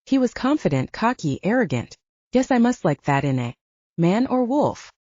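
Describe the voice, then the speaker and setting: A candid, self-mocking young female werewolf soldier, acted out by a woman audiobook reader. Her chatty delivery swings widely in pitch, with a mellow tone on a slightly muffled, dry recording. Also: sing-song, normal speed, no room sound. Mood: embarrassed, reflective. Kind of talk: audiobook character dialogue. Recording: dull, lo-fi sound.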